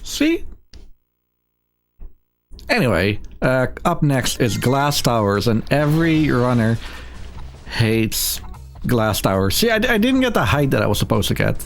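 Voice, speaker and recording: A man's voice: medium pitch, big pitch swings, a little slow, gravelly, pauses often. Casual male speedrunner over faint game music. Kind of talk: casual live game commentary. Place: live gaming marathon stream, game music behind.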